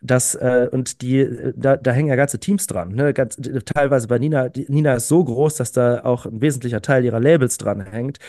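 A man in his early thirties speaks as a podcast guest, earnest and enthusiastic, often stretching his sentences with fillers and repeating words for emphasis. His voice sits at a middle pitch. The sound is dry and close to the mic. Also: moderate pace, few pauses, warm tone, dry recording. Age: 30s. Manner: earnest, enthusiastic, frequent "ähm" fillers and repetitions. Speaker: podcast guest, climate activist. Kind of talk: casual conversational interview.

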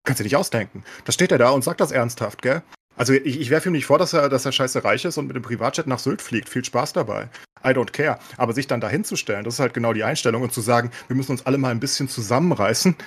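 A man's voice: medium pitch, quick pace, slightly rough. A man in a casual, opinionated discussion as a podcast co-host, talking colloquially with English swear words thrown in.

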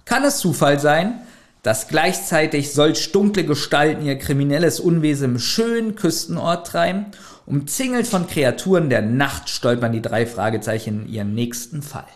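Slightly high-voiced man, jokey chatter. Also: animated, normal speed. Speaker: podcast co-host. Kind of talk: casual humorous chat.

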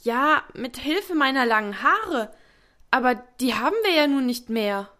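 Woman as a high-voiced naive young princess. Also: expressive, a little slow, bright, forceful tone, full character voice. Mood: earnest. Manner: sweet, girlish, guileless. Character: cheerful, naive young fairy-tale princess. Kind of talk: fairy-tale radio play acting.

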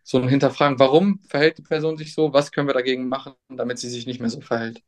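A young man, a substitute teacher, answering interview questions in a hesitant, thoughtful way with many pauses and restarts. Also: medium pitch, steady tone.

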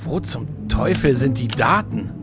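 A man's voice: medium pitch, expressive, measured pace. A man playing a sarcastic, flippant sidekick in a German sci-fi audio drama, talking in a wry, quippy way. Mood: baffled. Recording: music under the dialogue.

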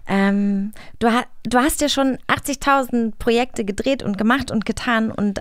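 A woman's voice: medium pitch, no room sound. Woman radio host, dry close studio sound. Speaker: co-host of a radio play magazine. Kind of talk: casual radio chat and interview.

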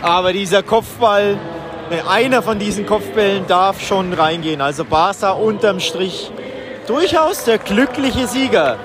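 A man's voice: high voice, measured pace. A man giving a live match report outdoors from a football stadium, his voice raised over the din. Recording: loud stadium crowd noise. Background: stadium crowd.

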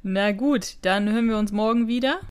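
A woman's voice: mid-range voice, moderate pace, a little mellow, clear, resonant tone. A woman co-hosting a podcast, talking casually and musing aloud in colloquial phrases. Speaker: podcast co-host. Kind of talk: casual chat reviewing an audio drama.

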